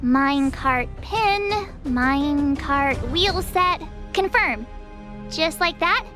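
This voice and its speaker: A woman voicing a perky, impatient young girl adventurer in a video game, expressive and teasing. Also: sits high, slow. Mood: playful, curious. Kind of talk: video game cutscene acting. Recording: light music behind the voice.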